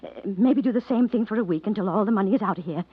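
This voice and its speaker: A muffled vintage radio play. A woman acts an anxious, scheming American working-class wife. She talks fast in plain, ungrammatical speech with a husky, very expressive voice. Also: medium pitch, dry recording, natural voice. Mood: eager, scheming. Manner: ungrammatical, plain-spoken, fretful. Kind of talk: 1940s-50s American radio drama acting. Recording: dull lo-fi vintage radio recording.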